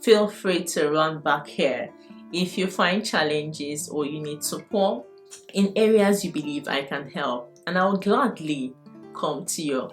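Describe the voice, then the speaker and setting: Low-voiced Nigerian woman giving advice. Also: a little slow. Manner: earnest, encouraging, big-sister advice. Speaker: vlogger. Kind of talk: advice vlog. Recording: faint guitar music behind.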